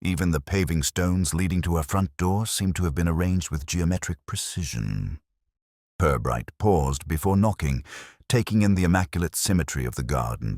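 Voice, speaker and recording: Male mystery narrator: extremely low voice, formal literary delivery, lively pitch movement, dry recording. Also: no echo. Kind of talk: scripted story narration.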